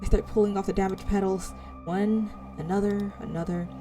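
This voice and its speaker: A woman reading a visual novel aloud as its player, dramatic but halting over words, with casual asides. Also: mid-range voice, steady tone. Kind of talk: reading game text aloud with casual reactions. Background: music.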